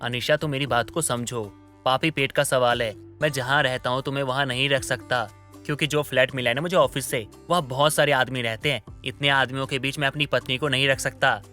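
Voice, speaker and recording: A smooth-talking young husband in an audio story drama, acted by a man. He coaxes and placates in a bright, mid-pitched voice, with music behind him. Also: fairly even intonation, brisk, natural voice. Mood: placating. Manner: coaxing, placating. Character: smooth-talking, two-timing young husband full of excuses. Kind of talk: Hindi moral-story audio drama acting.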